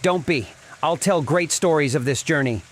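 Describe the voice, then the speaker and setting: A man's voice: a little high, moderate pace, slight background noise, close to an everyday voice. A man playing an easygoing, stoic male gnome wagon driver in a dramatized fantasy audio story. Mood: resigned, steady.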